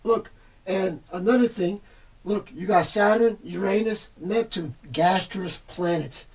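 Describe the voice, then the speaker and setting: A man's voice: high voice, a little slow, a little mellow, husky. A man in a rambling, earnest monologue, presenting his own numbers theory and reading definitions aloud. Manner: meandering, reads dictionary definitions aloud, casual and earnest.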